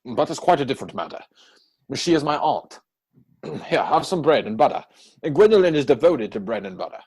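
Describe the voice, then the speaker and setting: A man acting a witty, idle young gentleman from a period comedy. His voice is slightly husky and swings widely in pitch, with arch, playful self-satisfaction in a theatrical reading. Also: very animated, brisk. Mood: witty, pompous. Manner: mannered, arch, theatrical delivery.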